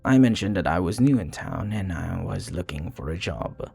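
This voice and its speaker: Man acting a deep, breathy, flirty vampire bartender. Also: very animated, mellow, soft background music, full character voice. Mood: wry, confiding. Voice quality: breathy, gravelly, often dropping to a whisper. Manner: suave, courteous, teasing, unhurried. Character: charming flirty male vampire bartender, polite, modest. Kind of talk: intimate ASMR roleplay.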